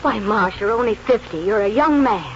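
An actress playing a devoted, reassuring middle-aged wife, gentle and encouraging, in a 1940s radio drama. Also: female voice, medium pitch, animated, moderate pace, close to an everyday voice. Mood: tender, reassuring. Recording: muffled, noisy 1940s radio broadcast.

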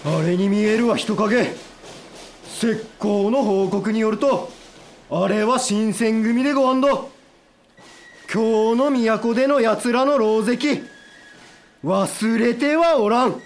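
A man's voice: sits high, takes it slowly, pauses often. A male actor playing a vengeful, commanding army officer in a historical audio drama. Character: Kuroda Kiyotaka, vengeful army commander ordering an attack.

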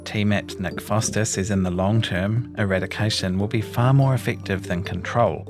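Kiwi guy reading a news feature, lowish voice, measured, music under it. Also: fairly even intonation. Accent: New Zealand. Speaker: reporter reading his own long-form article. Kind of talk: scripted narration of a feature story.

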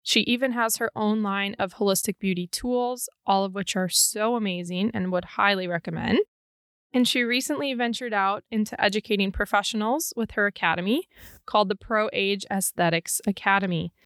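Woman, podcast host, upbeat and enthusiastic, dry close sound, mid pitch. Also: moderate pace, fairly bright tone, no room sound. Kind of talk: podcast hosting.

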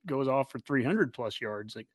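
A man's voice: medium pitch, lively intonation, normal speed, no echo. American male, podcast host, casual sports chat, close dry recording.

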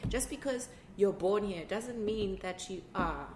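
A woman from South Africa, a guest artist in casual conversation, telling lively stories and acting out what others said. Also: mid-range voice, a little slow, background noise. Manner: animated storyteller, acts out quoted lines. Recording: roomy, faint ambience.

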